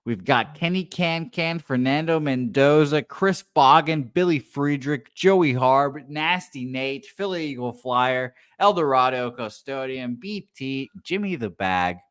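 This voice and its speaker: A man's voice: mid-range voice, unhurried, hardly any pauses, clean tone, no echo, clean studio sound. A man hosting a sports betting radio show, reading off a list of names and then calling a playful mock horse race. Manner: rattles off names, then calls the race excitedly.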